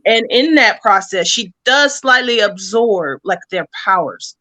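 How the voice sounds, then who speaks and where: A woman's voice: mid-range voice, lively intonation, measured pace, bright, forceful tone. A woman speaking as an interview guest, casually explaining her creative work.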